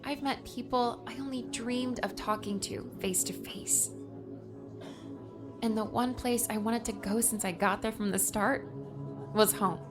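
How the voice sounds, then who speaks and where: A woman's voice: on the high side, steady tone, a little slow, close to an everyday voice. An actress playing an earnest, homesick young woman adventurer in an audiobook drama. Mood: regretful, resolute. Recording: music underneath.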